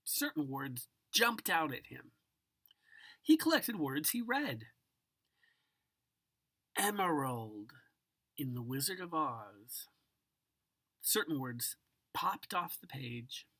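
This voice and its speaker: A woman giving an expressive children's picture book read-aloud, savoring words dramatically and speaking directly to the listener. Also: low voice. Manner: savors single words with drawn-out, dramatic delivery and occasional whispers. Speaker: storybook reader.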